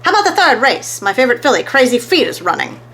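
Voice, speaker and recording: A woman's voice: on the high side, very animated, quick pace, a bit bright, pitched above the performer's own voice. A woman voicing a brash, greedy male racetrack gambler for a children's storybook. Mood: eager.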